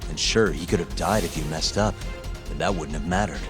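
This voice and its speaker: A man playing a dogged, cocky investigator in a dramatic video game cutscene, speaking in a measured, earnest and needling way. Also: low voice, lightly acted. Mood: accusing, sure. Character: dogged male investigator, calm, cocky and accusing. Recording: music behind the voice.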